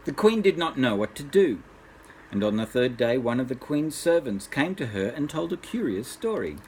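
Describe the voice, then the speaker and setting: Expressive older grandfather reading a bedtime story. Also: mid-range voice, moderate pace, a little hiss or hum, poor audio. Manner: expressive, addressing small children. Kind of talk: bedtime story read aloud.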